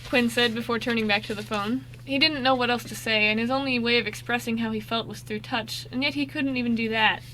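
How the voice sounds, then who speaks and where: A woman's voice: fairly high pitch, steady tone, fairly quick, hardly any pauses, pushed and bright, a little hiss or hum, rough recording. A woman narrating a scripted amateur radio drama, now and then stumbling over the script.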